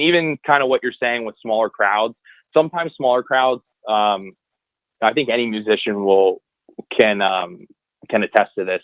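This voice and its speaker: A man's voice: lowish voice, fairly bright tone, dry recording, highs cut off. A young man, a guest anthem singer, chatting casually on a podcast in long rambling answers full of fillers and restarts.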